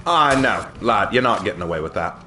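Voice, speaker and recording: A man's voice: on the low side, expressive, fairly quick, very noisy, pitched below the performer's own voice, lightly acted. A man putting on a silly, cheeky, theatrical British accent as a chummy gamer lad, chatting casually on a game live stream. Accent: put-on British.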